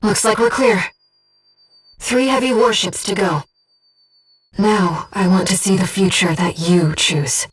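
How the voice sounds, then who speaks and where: A woman playing a gentle, disembodied female mission operator in a video game, earnest and composed, with clearly enunciated tactical callouts. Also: medium pitch, fairly even intonation, measured pace, long pauses, recorded from a distance, rough recording. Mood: soft, earnest. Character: gentle disembodied female voice guiding a mercenary pilot.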